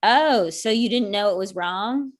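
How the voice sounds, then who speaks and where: A woman's voice: medium pitch, a little slow, close to an everyday voice. An actress playing a sardonic, wounded ex-girlfriend in her thirties, with dry, pointed delivery in a comedic table read. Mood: accusing. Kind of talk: naturalistic comedic dialogue reading.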